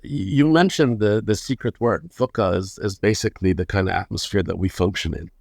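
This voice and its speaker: A gravelly, fairly low-voiced man in his fifties, from Lebanon, tells his story as an interview guest. He talks unhurriedly and often repeats a word while he finds the next phrase. The sound is close and dry, with no room around it. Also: a little fast, darkish tone, no echo. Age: 50s. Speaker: guest, hospital chairman.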